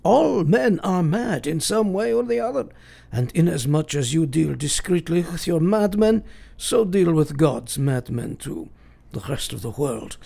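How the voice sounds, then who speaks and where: A man's voice: on the high side, lively intonation, measured pace, creaky, dark tone, husky, heavily acted. A man playing an eccentric, commanding professor in a radio play, speaking in an earnest, formal and emphatic way. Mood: earnest counsel. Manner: emphatic, formal, oddly phrased, counselling.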